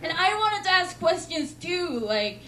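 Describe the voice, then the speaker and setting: Slow-talking Swedish woman doing stand-up. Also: very high voice, a faint crowd. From Sweden. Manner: deadpan, self-mocking delivery. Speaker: stand-up comedian. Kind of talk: stand-up comedy set. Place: comedy club stage. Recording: noisy club recording.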